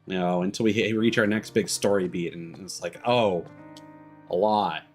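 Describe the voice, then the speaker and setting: Low-voiced man rambling over music. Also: steady tone, a little fast. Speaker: game streamer. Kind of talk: casual live-stream commentary.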